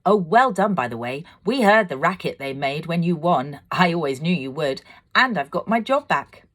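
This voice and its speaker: A woman voicing a cheerful, chatty boy in a storybook, with a lively way of talking. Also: low-pitched, normal speed. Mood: excited.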